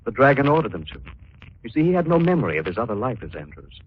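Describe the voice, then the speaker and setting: An actor playing a calm, thoughtful gentleman detective in 1940s radio drama style. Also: medium pitch, fast talker, breathy edge. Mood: grave, reflective. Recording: dull, noisy 1940s radio recording.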